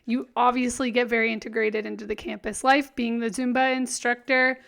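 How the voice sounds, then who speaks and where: Upbeat woman co-host, higher voice. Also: little pitch movement, brisk, vocal fry, fairly bright tone. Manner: upbeat, encouraging. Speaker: podcast co-host. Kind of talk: casual interview chat.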